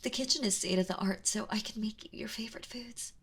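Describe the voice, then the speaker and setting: A woman playing a kind but obsessive, lovesick yandere captor in an intimate ASMR audio roleplay, speaking softly and hesitantly in a pleading, breathy way. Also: medium pitch, fast talker. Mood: eager, nervous. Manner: hesitant, pleading, soft-spoken with breathy drops into whispers.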